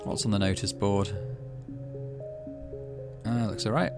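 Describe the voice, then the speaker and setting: A man hosting a gaming channel, reading a visual novel aloud and making relaxed, musing asides. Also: lowish voice, a little slow, over music.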